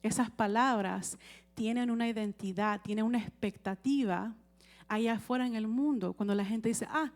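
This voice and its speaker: A woman's voice: a little high, normal speed. A woman preaching a sermon in a conversational way, often checking with the congregation and asking for amens.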